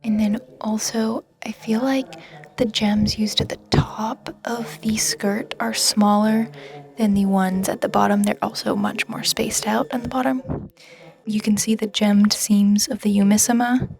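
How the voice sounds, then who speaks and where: A woman narrating a vlog in an excited, gushing and exclamatory way. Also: mid-range voice, measured pace, creaky. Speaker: vlogger and seamstress.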